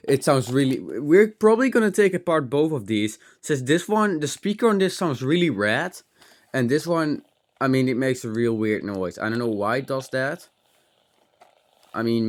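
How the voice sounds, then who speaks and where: A man giving a casual, unscripted hands-on gadget demo, rambling and thinking aloud with some mild swearing. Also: medium pitch. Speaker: host of a tech teardown channel.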